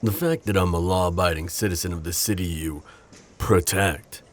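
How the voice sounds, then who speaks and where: A man's voice: sits low, normal speed, slight background noise, natural voice. A man playing a sardonic, protective civilian coworker with something to hide, in an audio roleplay. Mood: mocking. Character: sardonic, protective male civilian coworker hiding a secret identity.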